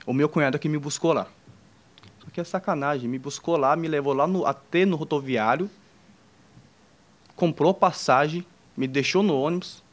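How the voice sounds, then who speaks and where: A young man from China with a Chinese accent, speaking Portuguese as a second language, telling an informal personal story to an audience in a halting way, with many restarts, while joking with the listeners. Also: on the high side. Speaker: entrepreneur speaking on a panel.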